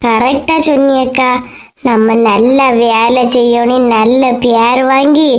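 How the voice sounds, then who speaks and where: A woman acting eager high-voiced Tamil younger sister. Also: fairly even intonation, takes it slowly, a little mellow. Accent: Kanyakumari Tamil. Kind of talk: comedy cartoon dialogue.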